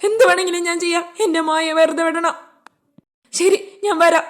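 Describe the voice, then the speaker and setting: A woman's voice: very high voice, fairly even intonation, normal speed. A woman voicing a desperate, pleading husband of a kidnapped wife in a cartoon dub. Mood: frantic pleading. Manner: anxious, pleading. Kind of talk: amateur cartoon dub in Malayalam.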